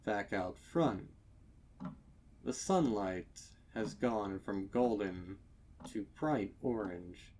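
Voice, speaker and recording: Slow, low-voiced man narrating horror. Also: a little mellow. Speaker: fan fiction narrator. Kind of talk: dramatic scripted horror narration.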